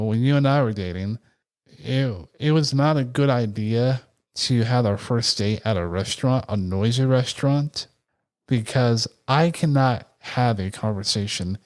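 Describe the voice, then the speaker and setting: Soft-spoken man, easygoing podcast chat. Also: mid-range voice. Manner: reflective, candid, measured. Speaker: podcast co-host.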